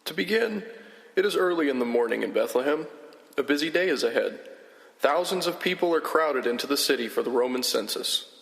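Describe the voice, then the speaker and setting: Rich: A man narrates a scripted introduction in a measured storytelling manner, on stage before an audience. His voice has a gravelly edge. A long hall reverb trails each line. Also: on the high side, lively intonation, a bit bright. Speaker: host.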